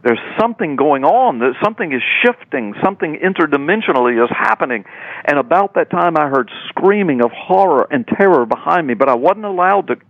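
Older male radio guest, slightly high voice, emphatic repeating storyteller, muffled dry line. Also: animated, brisk, no room sound. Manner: emphatic, repetitive, dramatic storyteller. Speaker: radio guest, preacher and author. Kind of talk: animated personal storytelling in an interview.

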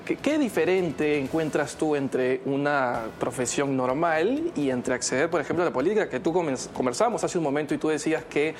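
A man's voice: on the high side, animated, fairly quick, hardly any pauses, soft background music. A man with a Peruvian accent hosting a radio interview in a conversational style. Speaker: radio show host, substitute.

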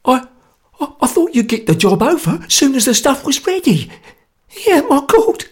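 A man voicing a terrified, cowardly young Cockney anarchist in a squeaky, breathy voice, stammering and pleading in a story reading. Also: very animated, measured pace, full character voice. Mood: panicky.

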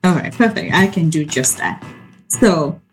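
A soft-spoken woman with a Cameroonian accent, an academic guest speaking in a remote radio interview. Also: low-pitched, expressive, measured pace, soft background music. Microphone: video call. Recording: remote call with faint noise.

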